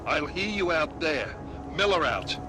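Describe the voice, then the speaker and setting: A man's voice: on the high side, sing-song, measured pace, over loud music. An actor playing a stern male commander giving curt orders. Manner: curt, authoritative. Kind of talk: video game dialogue.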